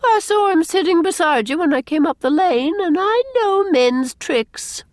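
A brisk village spinster of middle age, full of opinions and gossip, voiced by a woman reading a storybook. Her delivery is folksy and emphatic, with very lively rises and falls. The sound is dry, with no room around it. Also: on the high side, no room sound, voice pushed up. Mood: brisk, knowing.

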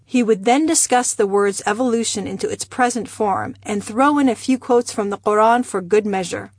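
A woman from Iran, a native Persian speaker, reading her own memoir aloud in a measured, wry storytelling manner. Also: mid-range voice, breathy edge, no echo. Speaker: memoir narrator.

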